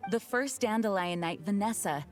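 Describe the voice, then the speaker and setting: Female knight commander for a fantasy game woman actor: mid-pitched, articulate, weary, courteous, faint music behind. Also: close to an everyday voice. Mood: grave, explanatory. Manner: formal, measured, articulate. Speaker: game character giving a quest briefing. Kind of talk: scripted video game dialogue.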